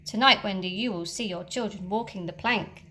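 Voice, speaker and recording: A woman's voice: medium pitch, normal speed, sharp tone, a little hiss or hum. A woman doing a blustering pirate captain.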